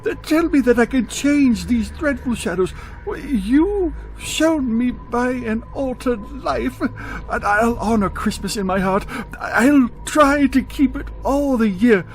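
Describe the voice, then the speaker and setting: A man's voice: very high voice, expressive, soft background music, exaggerated voice, poor audio. A man playing a frightened, repentant old miser in a radio drama, stammering and pleading as he draws out his words. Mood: desperate pleading. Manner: stammering, pleading, drawn-out delivery. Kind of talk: theatrical radio drama acting.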